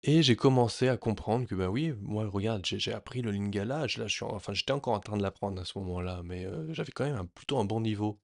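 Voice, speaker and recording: A man sharing a personal story in a reflective, earnest way, often pausing and restarting his sentences. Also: on the low side, vocal fry, dry recording, studio quality. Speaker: vlogger.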